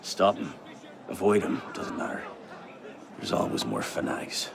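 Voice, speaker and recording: A man playing a gruff, world-weary assassin mentor in a video game, curt and dismissive. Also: low voice, sing-song, unhurried, noisy background, heavily acted. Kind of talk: dramatic video game dialogue. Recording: loud music and street crowd.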